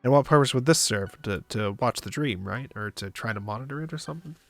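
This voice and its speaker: Male story narrator, mid-pitched, casual streamer delivery, dry sound over background music. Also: expressive, quick pace, vocal fry, fairly bright tone, no echo. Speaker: visual novel reader on a stream. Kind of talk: narrating a visual novel aloud, with casual asides. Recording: music behind the voice.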